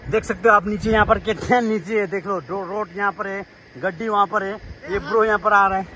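A man's voice: sits high, steady tone, fairly quick, sharp tone, a little hiss or hum, rough recording. A young man in his twenties chatting casually into his phone camera, narrating as he climbs a rocky hilltop outdoors. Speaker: vlogger.

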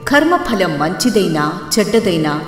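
A woman's voice: on the low side, very animated, moderate pace, roomy sound, in a large hall. A woman voicing a regal, commanding goddess of wealth in a cartoon story, stern but gracious. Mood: firm, lofty. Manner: commanding, lofty, declarative. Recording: music under the voice.

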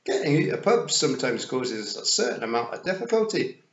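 A man voicing a bold, cheerful little pixie clan leader, loud and emphatic, with a Scottish accent, in a storybook reading. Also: medium pitch, sing-song, a little fast, reverberant, dull audio, pitched above the performer's own voice. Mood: cheerful, assertive. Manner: emphatic, rough-and-ready.

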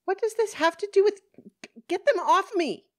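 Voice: mid-range voice, sing-song, takes it slowly, warm tone, voice pushed up, full character voice. A narrator voicing a frantic, cornered woman, pleading and protesting in exasperation in an audiobook story. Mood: frantic, exasperated.

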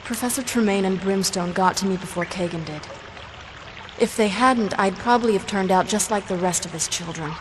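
A woman's voice: mid-range voice, brisk, lightly acted. A woman playing a sassy, defiant young half-vampire fighter in a video game. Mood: grim. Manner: sardonic, cocky. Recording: noisy game ambience behind voice.

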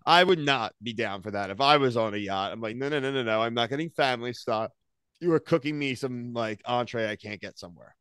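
A man's voice: mid-range voice, quick pace, a bit bright, smooth, no room sound. An American man in his thirties chatting casually as a podcast co-host, with slangy, opinionated recaps. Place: small home room, on a video call.

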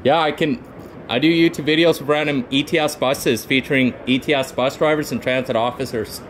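A Canadian man filming a casual tour of buses on display, excited and halting, rattling off model details with restarts. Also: medium pitch, slight background noise. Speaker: transit vlogger. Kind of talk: casual touring vlog. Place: bus display in a convention centre, indoors.

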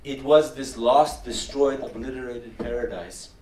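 A man's voice: medium pitch, measured pace, a little mellow. In an echoing room, heard at some distance from the microphone, a middle-aged man delivers a public lecture. He is earnest and emphatic, often stacking words in repeated lists. A faint noise sits under his voice. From Armenia. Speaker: filmmaker giving a lecture. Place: wood-panelled lecture room, indoors. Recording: roomy hall, distant mic, faint noise.

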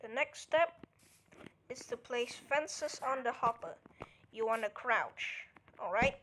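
A woman talks a viewer through a building tutorial at an unhurried pace. Her voice sits on the high side, and she counts out each item as she places it. A faint hiss of noise runs under the recording. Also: lively intonation. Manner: counts steps aloud, checks in with "alright?". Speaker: tutorial creator. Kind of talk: casual game tutorial walkthrough.

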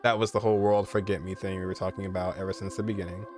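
A man's voice: deep voice, little pitch movement, racing, darkish tone, faint music behind. A man in his twenties with an American accent, giving casual, conversational reaction commentary as a gaming streamer. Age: 20s. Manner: conversational, repeats "you know" and "like". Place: indoor room, streaming setup.

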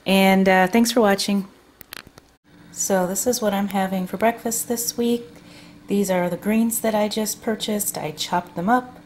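A woman vlogger casually talking through her grocery haul at home, unhurried with frequent ums. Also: medium pitch, fairly even intonation, a little mellow, a little hiss or hum. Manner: chatty, unhurried, many ums. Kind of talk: casual food haul narration. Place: home kitchen table.

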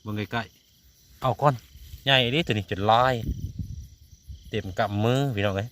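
Man, Isan accent, low pitch, casual vlog talk addressed to viewers, outdoor insect noise. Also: moderate pace, a bit bright. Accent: Isan, northeastern Thai. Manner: repeatedly addresses viewers while showing the catch. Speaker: vlogger hunting frogs. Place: outdoors in the countryside at night.

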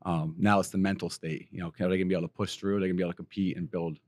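A raspy-voiced college basketball coach from Pennsylvania, a middle-aged man who is hoarse from coaching. He talks fast and earnestly in a fairly low voice. The recording is dry and close. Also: little pitch movement, a little mellow, dry recording. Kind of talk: interview answers.

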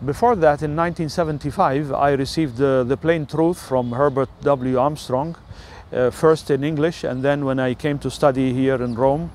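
An older man with an Italian accent, an Italian native speaker, talking through a rambling personal monologue with many filler sounds and pauses. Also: medium pitch, steady tone, a little mellow, a little hiss or hum.

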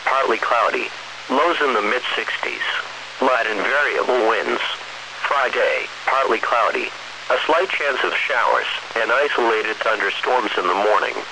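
Synthetic male weather radio announcer, noisy signal. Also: medium pitch. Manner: measured, impersonal automated delivery. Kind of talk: scripted weather forecast reading.

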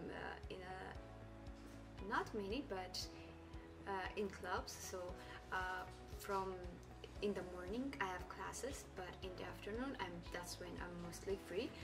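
A young woman from Ethiopia, a university student, talking casually with lots of fillers. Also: mid-range voice. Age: young adult. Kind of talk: interview answers to camera. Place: indoor studio corner. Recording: voice a bit far from the mic, music bed.

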